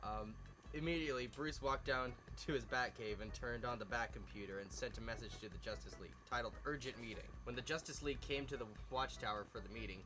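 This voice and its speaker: A man's voice: mid-range voice, fast, poor audio. A man reading a story aloud with joking asides, stumbling over words as he goes. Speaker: host reading fan fiction aloud. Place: outdoors by a fireside at night. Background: music.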